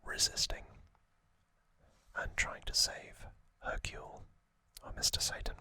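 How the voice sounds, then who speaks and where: A man whispering softly in a breathy, deliberate ASMR style, telling a recap in short phrases with long pauses between them. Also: no echo. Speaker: host of an ASMR trivia channel. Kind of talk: ASMR whispered storytelling, loosely scripted recap.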